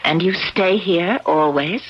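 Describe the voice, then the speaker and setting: An actress playing a skeptical, outspoken psychiatrist in a 1970s radio drama, speaking in an educated, challenging way. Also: female voice, fairly low pitch, slow pace, a little hiss or hum. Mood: curious, uneasy. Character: skeptical, outspoken woman psychiatrist, concerned for her sister. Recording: dull lo-fi old radio recording.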